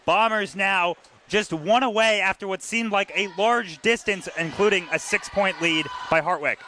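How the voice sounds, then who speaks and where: A man working as a color commentator on live sports, projecting loudly over the venue. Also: sits high, normal speed. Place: indoor gymnasium. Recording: loud gymnasium noise.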